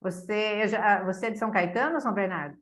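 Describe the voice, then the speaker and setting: A woman's voice: medium pitch, quick pace, fairly bright tone. A woman from Santo André with a São Paulo state Brazilian accent, hosting a course on a casual video call and ending phrases with tag questions. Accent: São Paulo state Brazilian Portuguese. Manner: chatty, many tag questions. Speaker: course host.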